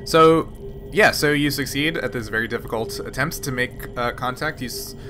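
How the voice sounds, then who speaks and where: A man at a mid pitch guides players through the rules and describes the scene as game master. He talks in halting bursts full of fillers and restarts. Clear music plays underneath. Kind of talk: running and narrating a tabletop game.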